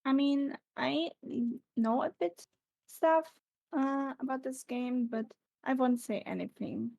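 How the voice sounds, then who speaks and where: A woman guest on a live stream, speaking hesitantly with many fillers and pauses, her voice creaky. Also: high voice, a little mellow, no room sound, highs cut off. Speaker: guest voice actor. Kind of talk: casual live-stream chat.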